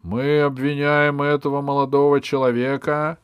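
Villainous fairy-tale bishop, acted by a man: formal, stern, loudly declaiming accusations, very dry recording. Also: on the high side, flat delivery, normal speed, clear, resonant tone, dry recording, muffled recording, voice pushed up, lightly acted. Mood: solemn accusing.

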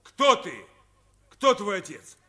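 A man playing a gruff, threatening, brutal father in a theatrical radio drama. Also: sits high, lively intonation, slow, sharp tone, exaggerated voice. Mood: menacing. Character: gruff, bullying brutal father of a poor boy.

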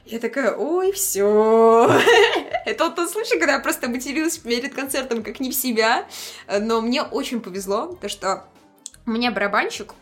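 A woman musician chatting casually as an interview guest, telling lively anecdotes in slangy speech and acting out the dialogue she quotes. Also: on the high side, normal speed, soft background music.

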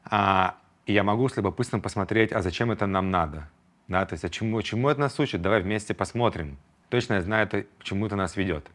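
Man, very low voice, podcast host, reflective solo talk, drops into quoted inner voices. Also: fast talker, fairly bright tone.

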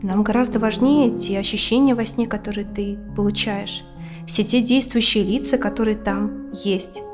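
A woman playing a calm, attentive psychotherapist in her thirties in an audio drama, asking and guiding in a measured way. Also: mid-range voice, over music, close to an everyday voice.

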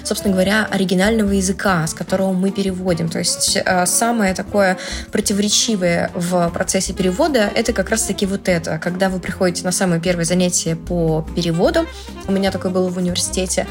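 Chatty young woman over loud music. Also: lowish voice, steady tone, quick pace. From Russia. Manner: chatty and playful, slangy asides. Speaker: translator and Italian language blogger. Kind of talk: casual explanatory vlog. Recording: music playing loudly under the voice. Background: background music.

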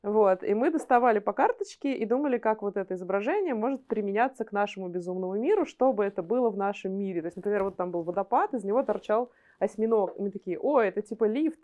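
A woman's voice: medium pitch, animated, fast, few pauses, mellow. A woman talking casually as a guest in a conversational interview. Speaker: animation studio guest.

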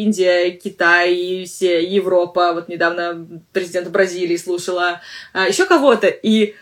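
Fast-talking woman teacher podcasting. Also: medium pitch. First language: Russian. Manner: chatty, full of filler words and restarts. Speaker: language teacher and podcast host. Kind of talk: casual podcast monologue.

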